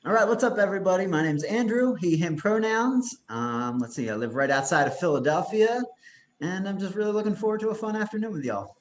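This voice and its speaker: A man's voice: high-pitched, animated, a little fast. A man giving an outgoing, breezy introduction on a video call. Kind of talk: casual self-introduction. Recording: roomy call audio with faint noise.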